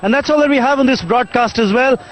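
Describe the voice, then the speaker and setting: A high-pitched man rattles through a post-match presentation at a brisk clip, smooth and formal like a seasoned broadcaster. He is outdoors at a cricket ground, talking into a handheld mic.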